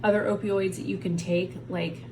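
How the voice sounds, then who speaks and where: A woman's voice: mid-range voice, little pitch movement, moderate pace, noisy background. A woman vlogger talking casually and frankly to the camera from home while in pain, rambling about her day. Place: at home on a heating pad.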